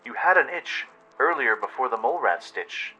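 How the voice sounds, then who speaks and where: A man voicing a calm, cryptic zebra in a radio play, speaking flatly in measured rhyme. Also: mid-range voice, highs cut off, soft background music, voice pushed up. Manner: flat, rhyming, measured. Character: rhyming mystic zebra companion, calm and cryptic.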